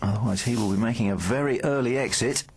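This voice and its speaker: A man commentating on a poker final in a measured, wry way. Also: mid-range voice, slight background noise. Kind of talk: live commentary.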